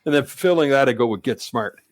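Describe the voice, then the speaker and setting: A man chatting on a podcast, halting and repeating words as he talks. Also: medium pitch, lively intonation, dry recording, clean studio sound. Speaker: podcast guest. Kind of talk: casual chat.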